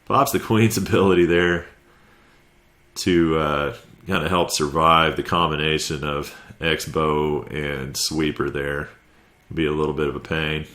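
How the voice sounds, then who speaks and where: A man's voice: extremely low pitch, fairly even intonation, unhurried, a bit bright. A man with a stuffy head cold giving laid-back, conversational play-by-play commentary over a video game recap. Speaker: clan war recap commentator.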